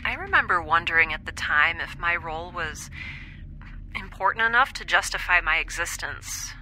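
A woman's voice: fairly low pitch, lively intonation, normal speed, pushed and bright, a little hiss or hum, low-quality recording. A woman playing a wry, introspective young scientist in space, speaking a hesitant, conversational audio drama monologue. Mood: pensive.

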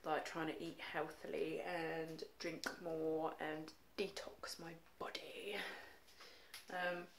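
Slow-talking snuffly woman vlogger. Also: low voice, little pitch movement, gravelly, slight background noise. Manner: rambling, chatty, lots of ums and likes. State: congested with a cold. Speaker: makeup vlogger. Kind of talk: casual chatty vlog. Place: home living room, indoors. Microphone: phone held at arm's length.

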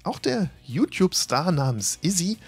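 Husky man narrating over light music. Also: high-pitched, sing-song, normal speed. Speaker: channel host narrating event report. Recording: faint music bed behind voice.

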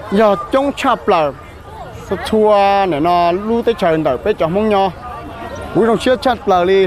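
Young guy from thailand, fairly high voice, halting answers, big crowd noise behind. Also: darkish tone. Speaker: interviewee, actor. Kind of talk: casual interview answers. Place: outdoor festival grounds with a large crowd.

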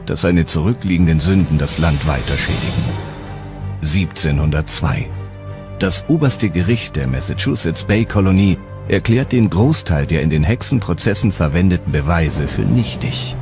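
A man with a very deep voice narrates a documentary from a script. His delivery is animated and varied in pitch, with faint music playing underneath. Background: faint background music.

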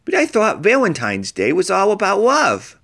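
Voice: medium pitch, huge pitch swings, moderate pace, creaky, fairly bright tone, dry recording, studio quality, voice pushed up, full character voice. A storyteller voicing an earnest, plaintive, lovesick young boy in a children's storybook. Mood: puzzled.

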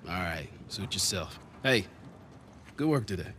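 An actor with an American accent playing a genial, persistent middle-aged salvage crew boss in a video game, hearty and coaxing. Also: male voice, sits low, lively intonation, a little slow, fairly bright tone, slight background noise. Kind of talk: naturalistic video game cutscene acting.

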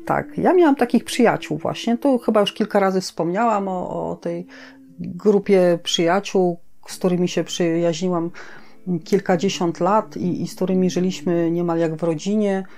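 A middle-aged woman co-hosting a podcast, talking casually and reflectively in halting phrases with frequent pauses. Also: lowish voice, darkish tone, faint music behind. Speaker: podcast co-host.

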